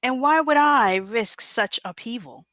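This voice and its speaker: Woman acting a shrewd, animated fairy godmother. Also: a little high, measured pace, no room sound. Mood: disapproving. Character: shrewd, stern female fairy godmother running a kingdom. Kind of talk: comedic screenplay table read.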